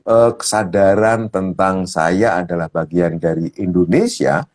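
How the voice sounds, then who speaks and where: A man speaking as a coach, explaining ideas step by step in a slow, thoughtful way with many fillers. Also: low voice, a little mellow. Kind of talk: explaining ideas in a talk show.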